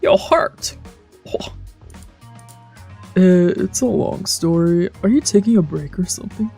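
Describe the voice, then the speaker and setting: A woman with a fairly low voice reads a visual novel aloud as a streamer. Her pace is slow, with stumbles and casual, playful asides, over faint game music. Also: animated, creaky, warm tone, breathy edge. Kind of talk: let's play reading with casual reactions.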